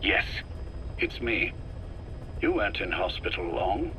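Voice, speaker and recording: An actor playing an ailing, calculating older intelligence chief in a video game, speaking in a measured, deliberate and politely menacing way. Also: male voice, deep voice, big pitch swings, noisy background, heavily acted. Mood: cool, controlled. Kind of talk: scripted game dialogue, dramatic acting.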